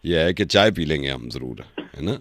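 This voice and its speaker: A man voicing a genial middle-aged father on a prank call, halting and avuncular as he talks. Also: a bass voice, animated, a bit bright, dry recording, heavily acted. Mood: genial, hesitant. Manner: slow, halting, chuckling, avuncular. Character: genial, hesitant middle-aged father seeking a match for daughter.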